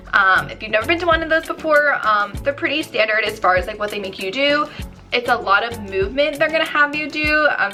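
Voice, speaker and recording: Chatty twenty-something woman, bit hoarse, crisp bright voice, telling her story on her channel. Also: a little high. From New Jersey, USA. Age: 20s. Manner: chatty, many ums and likes. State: slightly hoarse, losing her voice. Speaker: YouTuber. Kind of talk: casual vlog storytelling.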